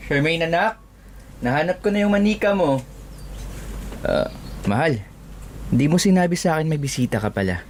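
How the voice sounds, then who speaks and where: A man's voice: a little high, lively intonation, moderate pace, pauses often, a little hiss or hum, natural voice. A man playing a kind, hospitable husband and father in an audio drama. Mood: friendly, welcoming.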